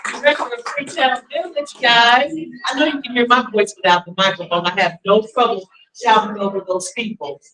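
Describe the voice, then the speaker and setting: A woman emceeing and telling stories at a live event, animated and chatty. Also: on the high side, fairly quick, crowd noise. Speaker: emcee and storyteller. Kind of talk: live personal storytelling and hosting. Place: restaurant event room. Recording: distant mic in a live venue.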